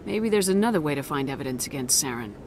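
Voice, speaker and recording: A woman's voice: deep voice, expressive, quick pace, slight background noise. A woman playing a determined military commander in a video game, speaking in a firm, direct, clipped way. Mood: businesslike, probing. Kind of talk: video game cutscene acting. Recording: music behind game dialogue.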